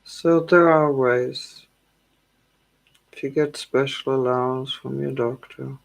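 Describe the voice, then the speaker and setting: A weary woman talks to her online viewers at a slow, unhurried pace. Her voice is gravelly and drowsy, soft and calming. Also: rumbling low, low-quality recording. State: tired. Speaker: tarot livestream host. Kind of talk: casual livestream chat with viewers.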